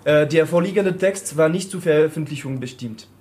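A man's voice: fairly high pitch, normal speed, darkish tone. A non-native German-speaking man presenting a book and reading its preface, searching for words with many fillers. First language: not German. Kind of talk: halting reading aloud.

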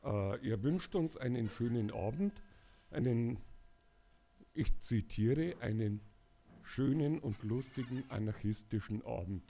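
An older man, hoarse, speaking casually and haltingly to a live audience as a singer-songwriter. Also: mid-range voice, animated, mellow. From Bavaria, Germany. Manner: halting, many filler sounds. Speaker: singer-songwriter introducing an event.

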